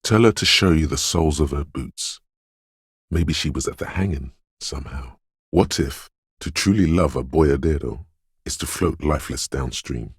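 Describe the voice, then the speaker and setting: A man's voice: normal speed, dry recording, clean studio sound, exaggerated voice. A man voicing a brooding, eerie inner voice of intuition in a video game, speaking intimately and poetically in a mournful, ominous, dreamlike way. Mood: dreamy, musing. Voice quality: very deep, husky, gravelly whispery rumble. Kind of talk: video game voice acting, literary second-person narration.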